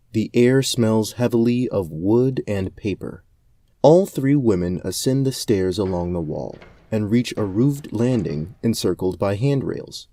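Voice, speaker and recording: A man's voice: fairly low pitch, mellow, dry recording, clean studio sound. A man giving scripted narration for a fantasy audio drama. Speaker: narrator and game storyteller.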